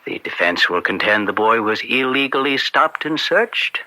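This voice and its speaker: An actor playing a middle-aged political fixer in an old-time radio drama, persuasive and polished, with a slick, insinuating way of talking. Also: male voice, medium pitch, expressive, normal speed, sharp tone, dry recording, poor audio. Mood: defensive, smooth. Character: smooth-talking political fixer, persuasive and slippery family friend.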